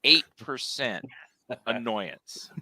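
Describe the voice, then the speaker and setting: A man's voice: mid-range voice, expressive, extremely slow, pushed and bright, no echo, rough recording. A man chatting casually as a panel co-host on a video call, with a theatrical, joking manner. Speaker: convention track co-director and podcast co-host.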